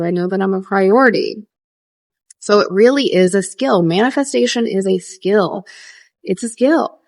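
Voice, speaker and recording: A young adult woman coaching viewers on a livestream, earnest and repetitive, answering questions with lively rises. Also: mid-range voice, no echo, clean studio sound. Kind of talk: casual livestream teaching, reading viewer questions.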